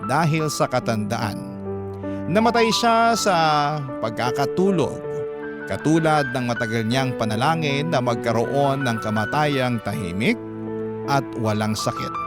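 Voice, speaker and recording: Male storyteller over loud music. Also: medium pitch. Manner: steady storytelling cadence. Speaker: radio drama narrator reading a listener's letter. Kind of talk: scripted dramatic narration. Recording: music bed under voice.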